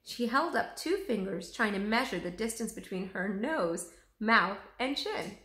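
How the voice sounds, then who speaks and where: A woman reading a children's storybook aloud with expressive narration. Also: medium pitch, a little slow. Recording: roomy, echoing room sound.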